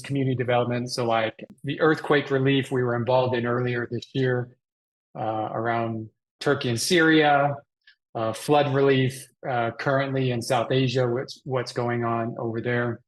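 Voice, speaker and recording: A man's voice: mid-range voice, poor audio. A middle-aged man from Texas, explaining his work and life as an interview guest. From Texas, Dallas area. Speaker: guest, mission organization president.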